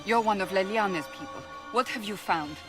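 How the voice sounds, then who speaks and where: A woman's voice: mid-range voice, moderate pace, long reverb tail, music in the background, lightly acted. An actress playing a stern, blunt woman warrior in a video game, speaking firmly and commandingly. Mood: urgent. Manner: firm, clipped, commanding.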